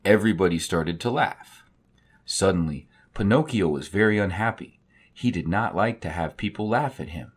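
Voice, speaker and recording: Man, children's story narration, lowish voice, lively intonation, slightly raspy. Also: normal speed. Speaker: storybook reader.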